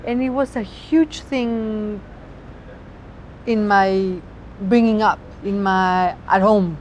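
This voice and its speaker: A woman's voice: medium pitch, fairly even intonation, takes it slowly, darkish tone, a little hiss or hum, poor audio. A woman with a Mexican accent, native Spanish speaker, a chef talking expressively outdoors and sometimes searching for words. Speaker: chef, guest. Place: outdoors on a city street.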